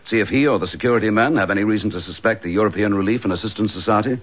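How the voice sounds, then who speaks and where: An actor playing a steady, dryly courteous middle-aged English chief inspector in a radio detective drama. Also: male voice, fairly low pitch, very fast, natural voice. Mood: businesslike, dry. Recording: dull lo-fi radio drama recording.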